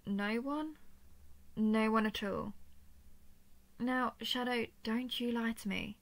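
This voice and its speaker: A woman playing a royal female dragon in an intimate audio roleplay, teasing and protective, with soft, slightly formal speech and a creaky voice. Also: a little high, fairly even intonation, slow pace. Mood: curious, coaxing. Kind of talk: intimate scripted audio roleplay addressed to listener.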